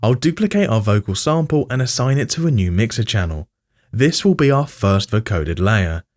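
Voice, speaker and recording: A man's voice: fairly low pitch, animated, moderate pace, darkish tone. A man narrating a scripted music production tutorial as its host.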